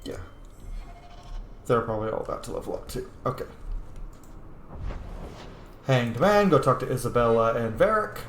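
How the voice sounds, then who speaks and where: A woman's voice: extremely low pitch, very animated, normal speed, long pauses, a little hiss or hum. A woman giving casual commentary while she roleplays through a video game as the host. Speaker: gamer, let's play host. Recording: roomy echo, faint game music.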